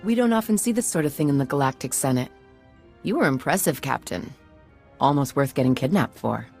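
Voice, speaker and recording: A woman's voice: a bass voice, lively intonation, fairly quick, creaky, darkish tone, faint music behind, exaggerated voice. An actress playing a poised, self-assured female galactic senator in a video game, formal and confident. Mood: admiring. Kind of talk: video game cutscene acting.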